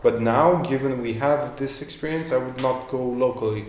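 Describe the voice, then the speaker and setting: Male entrepreneur, halting on stage, roomy echo. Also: mid-range voice, darkish tone, slight background noise. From Romania. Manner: hesitant, many fillers and restarts. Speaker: guest entrepreneur. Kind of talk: live interview at an event. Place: event room. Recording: echoey room with faint ambience.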